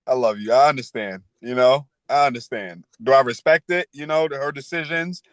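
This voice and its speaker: A man's voice: mid-range voice, moderate pace, no room sound. A man in his thirties speaking candidly as an interview guest, full of street slang and "you know.". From New York. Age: 30s. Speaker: podcast guest.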